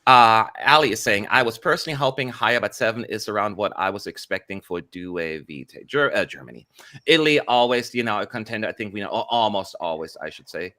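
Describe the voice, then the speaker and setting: A man hosting a casual online panel chat on a video call, talking in a lively way with lots of fillers, from a home room. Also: fairly low pitch, quick pace. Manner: lively, chatty, frequent "y'all" and fillers. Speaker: host. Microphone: studio mic on a video call.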